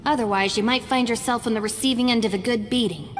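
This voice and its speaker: A woman's voice: a little high, fast talker, background noise, close to an everyday voice. Woman playing a frank young fixer, echoing. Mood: confiding. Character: level-headed, weary young female gang fixer. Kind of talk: anime video game voice acting. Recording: long reverb, music behind.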